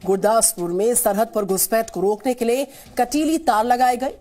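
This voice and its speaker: A woman's voice: on the high side, moderate pace, a little mellow. An Indian woman presenting television news in a formal, emphatic manner. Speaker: news anchor. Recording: studio voice over news music.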